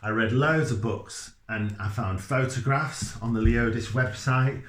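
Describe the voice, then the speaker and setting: A man's voice: mid-range voice, measured pace, fairly bright tone. Middle-aged male, Leeds accent, informal explainer; earnest, self-interrupting, roomy sound with faint noise. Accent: Leeds, Yorkshire. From Leeds, England. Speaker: children's author. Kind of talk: informal explainer talk to camera. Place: small indoor room by a whiteboard. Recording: echoey room, faint background noise.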